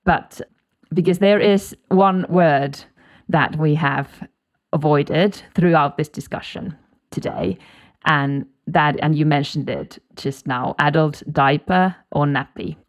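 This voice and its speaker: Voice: medium pitch, slow pace. An interviewer whose first language is Finnish, asking thoughtful questions.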